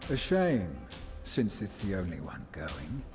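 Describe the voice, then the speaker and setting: An actor playing a shrewd male schemer in a video game cutscene, talking in a measured, wry, insinuating way. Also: low voice, wildly swooping pitch, music in the background, full character voice. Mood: wry, persuasive. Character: smooth, shrewd male schemer offering bounty deals.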